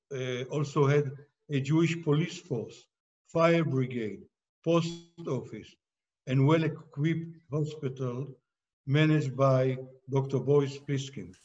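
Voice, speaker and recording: A man's voice: mid-range voice, darkish tone. A man reading a list of historical facts aloud as narration. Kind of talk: read narration. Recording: dull, muffled audio.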